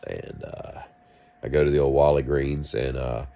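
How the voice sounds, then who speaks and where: Deep, gravelly man with a cough. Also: steady tone, normal speed, mellow. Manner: wry, self-mocking, meandering asides. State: coughing, sick. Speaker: vlogger. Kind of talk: rambling storytelling monologue.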